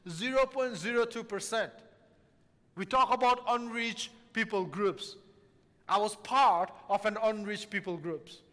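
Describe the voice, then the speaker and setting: A man's voice: sits high, measured pace, bright, forceful tone, big-room sound. A man from Nepal, preaching an earnest sermon as a missionary and repeating key phrases for emphasis.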